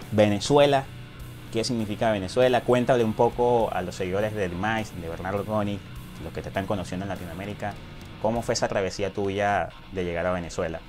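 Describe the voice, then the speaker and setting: A man's voice: on the low side, darkish tone. A man hosting a casual interview, talking at length and leaning on filler words. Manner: rambling, leans on filler words. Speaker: interviewer, host.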